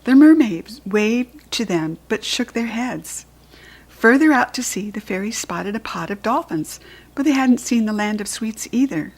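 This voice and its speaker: A woman with an American accent reading a children's book aloud in a clear, expressive voice. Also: on the high side, a little slow.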